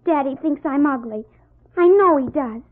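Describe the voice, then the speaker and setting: Little girl, plaintive and stubborn, high-pitched, vintage lo-fi radio drama. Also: lively intonation, moderate pace. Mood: hurt. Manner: pouty, plaintive. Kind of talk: old-time radio western acting. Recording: muffled, lo-fi vintage radio recording.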